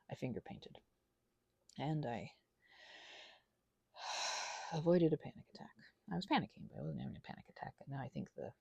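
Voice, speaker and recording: Husky, low-voiced woman rambling confessionally. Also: mellow, dry recording. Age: 30s. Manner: halting, self-deprecating, earnest. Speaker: ASMR channel creator. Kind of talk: rambling confessional ASMR monologue.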